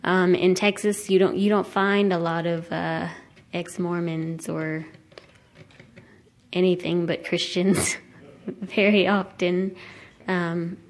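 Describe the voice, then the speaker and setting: A woman giving a personal testimony to a group in a hesitant, halting way. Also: lowish voice, little pitch movement. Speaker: attendee sharing personal story at a conference.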